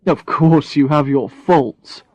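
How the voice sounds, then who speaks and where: A woman's voice: low-pitched, takes it slowly, dark tone, no echo, voice pushed down. A woman reading the lines of a kind but tactless young man in a story, casual and blunt. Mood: teasing.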